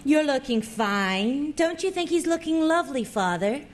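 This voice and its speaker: A breezy young American heiress, with a high-pitched voice. She is effusive, teasing and sure of herself, in the style of a comic radio play. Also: expressive, normal speed. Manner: effusive, teasing, self-assured. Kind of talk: comic audio drama acting.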